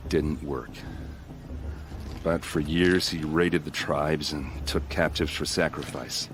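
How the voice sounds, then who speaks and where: A friendly video game warrior with a deep voice. He is plain-spoken and wry, proud of his people and given to folksy boasting. Faint ambient noise sits behind him. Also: fairly even intonation, takes it slowly. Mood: wry, curious. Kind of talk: game cutscene dialogue acting.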